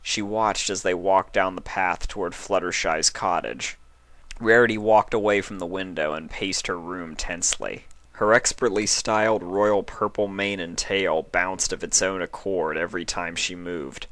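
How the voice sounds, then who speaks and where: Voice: low voice, unhurried, fairly bright tone, dry recording. A narrator reading a scripted story aloud as a fan fiction reader.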